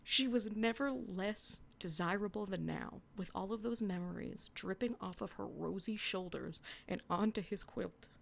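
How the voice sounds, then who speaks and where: A young woman reading her own old fan fiction aloud in a hushed, half-whispered voice, then chatting about it with giggly, self-mocking commentary. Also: medium pitch, moderate pace, creaky, hardly any pauses, slight background noise. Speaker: podcast reader.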